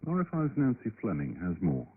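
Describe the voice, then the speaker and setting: Male radio news presenter, deep voice, scripted reading, thin shortwave band, light crackle. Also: huge pitch swings, a little fast, phone-quality sound.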